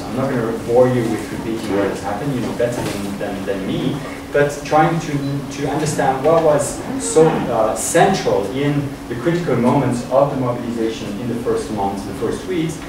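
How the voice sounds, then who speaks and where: Swiss male academic in an echoey room. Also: medium pitch. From Switzerland. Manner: halting, searching for words. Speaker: academic guest speaker. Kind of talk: informal conference talk, improvised from notes. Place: university room. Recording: echoey room, distant mic, noisy.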